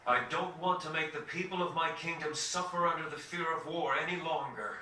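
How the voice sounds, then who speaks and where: Game prince: selfless diplomat, formal, highish and very bright, slightly distant sound. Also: male voice, fairly even intonation, a little fast. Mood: solemn, sincere. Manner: formal, sincere, declarative. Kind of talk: dramatic video game cutscene acting. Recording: echoey, distant, faint noise.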